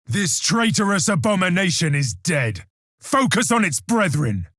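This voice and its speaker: Casting: zealous armored space marine for combat game lines; higher-set voice, big pitch swings, proud, militant, scornful. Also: male voice, very animated, a little slow, fairly bright tone, no room sound, clean studio sound, heavily acted. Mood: fierce triumph. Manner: declamatory, solemn, militant, commanding. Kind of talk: video game combat voice lines, theatrical declamation.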